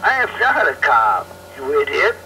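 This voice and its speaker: A man's voice: on the high side, very animated, moderate pace, bright, forceful tone, a little hiss or hum. A drunk man arguing on a phone call, combative and sweary, repeating what he hears. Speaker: prank call victim. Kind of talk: agitated phone argument.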